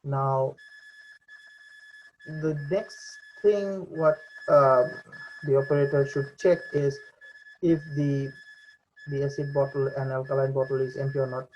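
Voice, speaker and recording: A young man giving an unscripted voice-over for a software demonstration, with hesitant, halting delivery. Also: medium pitch, fairly even intonation, slight background noise. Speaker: presenter demonstrating a VR training simulation. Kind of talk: unscripted screen demo voice-over. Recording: dull lo-fi computer mic recording.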